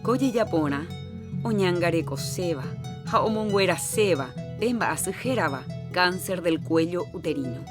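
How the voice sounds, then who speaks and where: A woman hosting a radio health program, reading her script in a measured, announcing manner. Her voice sits on the low side, and clear music plays behind her. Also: lively intonation.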